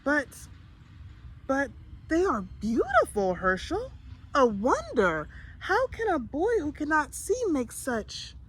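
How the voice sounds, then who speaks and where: A woman's voice: high voice, huge pitch swings, slow, a bit bright, voice pushed up, full character voice, poor audio. A woman voicing an emphatic, proud village baker mother from a children's storybook. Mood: amazed. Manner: emphatic, exclaiming. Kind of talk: storybook character voice.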